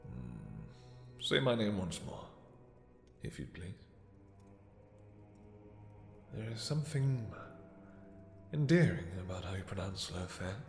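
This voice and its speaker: A man playing an imperious, possessive vampire king in a romantic audio roleplay, speaking in a deep, soft, courtly voice with formal phrasing. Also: big pitch swings, gravelly, faint music behind, heavily acted. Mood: haughty, intrigued. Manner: formal, courtly, commanding, hesitant pauses. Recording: echoey hall with long reverb.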